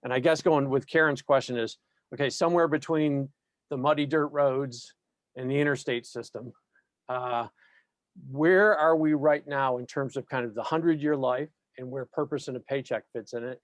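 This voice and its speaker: A man's voice: medium pitch, dry recording. Rambling man asking a webinar question. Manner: rambling, anecdotal. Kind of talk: question from the audience. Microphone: video call.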